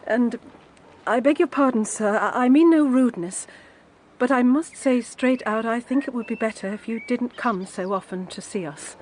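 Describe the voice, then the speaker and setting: Actress as anxious, high-voiced guardian woman. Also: normal speed, darkish tone, slight background noise, close to an everyday voice. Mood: uneasy, firm. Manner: hesitant, pleading, formally polite. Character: anxious, guarded older female guardian keeping a sorrowful secret. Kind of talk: British period audio drama.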